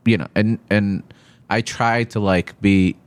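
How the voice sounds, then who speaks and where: A man's voice: fairly low pitch, fairly even intonation, smooth, no echo. Man chatting casually, dry sound. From Iraq. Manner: halting, informal, profane. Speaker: podcast guest, stand-up comedian. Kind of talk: casual podcast chat.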